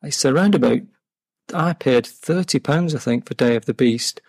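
A man's voice: mid-range voice, normal speed, a little mellow, breathy edge, no echo. A man talking casually as a guest in an interview about his collection. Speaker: podcast guest, game collector.

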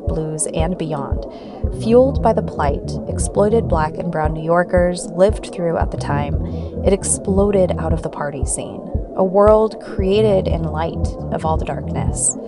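A woman's voice: lowish voice, slow, music in the background. An American woman from the San Francisco Bay Area speaking as the host of a radio podcast. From San Francisco Bay Area, United States. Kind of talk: scripted narration and interview.

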